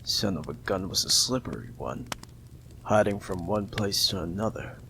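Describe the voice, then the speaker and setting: A man voicing a smug, possessive mafia boss in an audio roleplay, teasing and condescending, with a gravelly drawl and long pauses. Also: bright, forceful tone, slight background noise, full character voice, rough recording. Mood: smug explaining. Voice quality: low, gravelly, drawling delivery with drawn-out pauses. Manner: teasing, condescending, calls listener darling.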